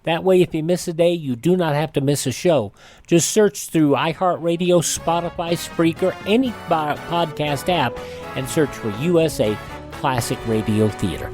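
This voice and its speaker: A man's voice: a little high, normal speed, darkish tone. A man hosting a radio show, reading a conversational scripted outro. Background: faint music.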